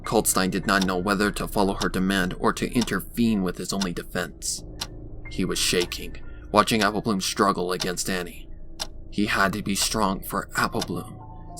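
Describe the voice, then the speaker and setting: A man's voice: low voice, fairly even intonation, moderate pace, music in the background. A man narrating a scripted dramatic story on a noisy home recording.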